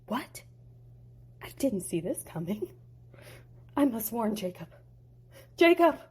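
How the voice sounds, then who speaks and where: A woman voicing a protective, anxious mother who speaks urgently in a raised pitch. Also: big pitch swings, takes it slowly, husky, heavily acted. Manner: urgent, commanding.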